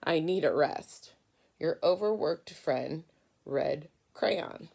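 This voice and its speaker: A woman's voice: low-pitched, lively intonation, slow, gravelly, a little mellow, full character voice. A woman voicing an overworked, weary red crayon with a plaintive, grumbling manner in a storybook. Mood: weary complaining.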